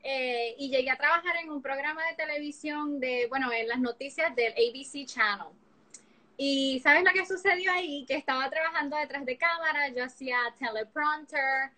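A woman in her thirties from Puerto Rico with a Puerto Rican Spanish accent, a singer and actress chatting bubbly and animated as a guest on a video-call interview from her living room. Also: high-pitched. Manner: bubbly, laughs often, animated storytelling. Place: living room with couch, indoors. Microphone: laptop or phone camera on video call.

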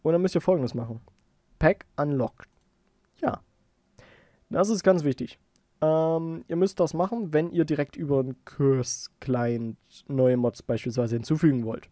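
A man giving a casual software tutorial, informally thinking aloud as he goes. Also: fairly high pitch, normal speed, no room sound, studio quality. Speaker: tutorial host.

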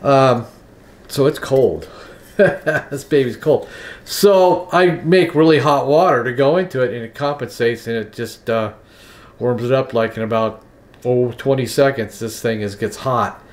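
A man in his sixties making coffee in his kitchen while chatting in a relaxed, rambling way with plenty of fillers. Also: medium pitch, measured pace. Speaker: vlogger. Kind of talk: casual morning vlog with demonstration. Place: home kitchen.

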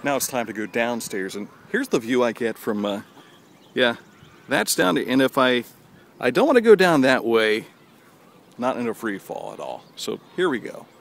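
A man's voice: mid-range voice, big pitch swings, moderate pace, vocal fry, a little hiss or hum. Chatty man giving a stadium walking tour. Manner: chatty, folksy, hometown pride. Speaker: local stadium tour guide. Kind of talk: handheld walking tour narration. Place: outdoor high school stadium. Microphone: handheld camera at arm's length.

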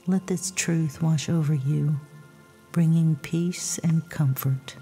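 A woman softly narrating a scripted guided sleep meditation with scripture readings, in a hushed, breathy, soothing and measured voice. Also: low voice, steady tone. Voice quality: soft, breathy, husky. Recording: long reverb, soft music behind.

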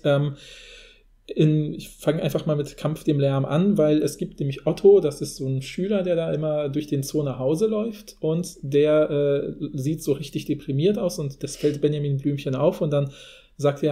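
A man's voice: a little high, dark tone. A man hosting a podcast, retelling things in a casual, rambling, colloquial way. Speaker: podcast host.

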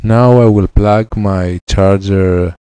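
A man in his thirties with a Greek accent, a repair technician explaining a laptop fix in halting English with many fillers, on a headset mic in his lab. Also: on the low side, steady tone, dark tone, clean tone, dry recording. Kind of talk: hands-on repair tutorial. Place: electronics repair lab, indoors.

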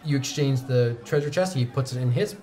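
A man in his twenties narrating a tabletop roleplaying game as game master, conversational and halting, pausing mid-sentence. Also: medium pitch, mellow. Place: indoor studio set at a game table. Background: background music.

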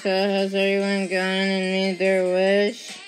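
A woman's voice: medium pitch, completely flat delivery, takes it slowly, faint music behind. A young woman at home, casually talking through a toy play session in a hesitant way with many fillers, recorded on a handheld phone. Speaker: hobbyist playing with pony toys. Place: indoors at home by a shelf of toys.

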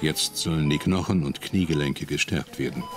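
A man narrating a television documentary in a calm, measured voice-over. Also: very deep voice. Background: music.

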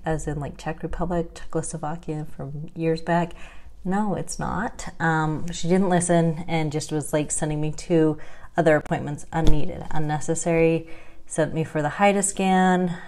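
A woman's voice: low voice, a little mellow. A woman in her thirties talking to a webcam at home, chatty and wry, laughing often as she tells her story. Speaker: vlogger sharing her health journey. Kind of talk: casual talking-head vlog. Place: indoors at home, small room.

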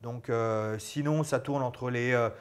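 A man's voice: mid-range voice, takes it slowly, clear, resonant tone. A man in his forties, a guest chatting casually and animatedly in a small studio lounge, on a lapel mic. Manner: animated, gestures a lot while talking. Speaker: guest, former fighter turned actor and stuntman. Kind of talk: casual interview chat.

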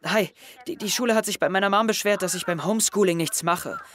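A man's voice: high voice, fairly bright tone, a little hiss or hum. A young male actor playing a worried, defensive teenage schoolboy in an audio drama, speaking hesitantly. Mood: cautious. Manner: hesitant, halting. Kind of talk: scripted audio drama dialogue. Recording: music under the voice. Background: background music.

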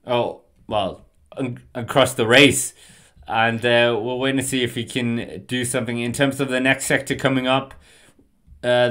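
A man's voice: medium pitch, a bit bright. A Danish man with an English accent, giving casual, rambling live commentary on a stream and often correcting himself. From Denmark. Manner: rambling, with fillers and self-corrections.

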